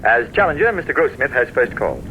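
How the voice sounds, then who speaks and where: An actor playing a reasonable, reluctant peacemaking gentleman with a measured, protesting manner in an old-time radio drama. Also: medium pitch, big pitch swings, lightly acted. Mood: reluctant, brisk. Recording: dull vintage radio recording, faint noise.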